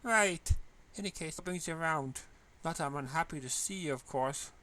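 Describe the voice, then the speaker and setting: A man's voice: a little high, moderate pace, sharp tone, voice pushed up. A man voicing a polite, studious, mild-mannered teenage schoolgirl. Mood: friendly.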